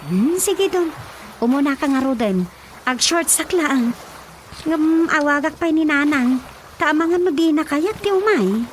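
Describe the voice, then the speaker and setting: A woman playing a lively, dutiful daughter in an Ilocano radio drama comedy, speaking with an Ilocano accent. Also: high voice, unhurried, background noise. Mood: chatty.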